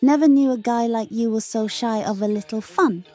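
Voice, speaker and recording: A woman voicing a bubbly, flirty female robot chicken in a comic dub, teasing and high, over music. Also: moderate pace, darkish tone, close to an everyday voice.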